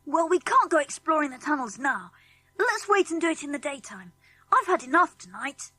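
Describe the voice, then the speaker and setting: Plucky British schoolboy for a storybook: high, animated, earnest, exclamatory. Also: female voice, moderate pace, no echo, soft background music, exaggerated voice. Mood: thoughtful. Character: eager, plucky English schoolboys on holiday. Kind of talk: children's audiobook character acting.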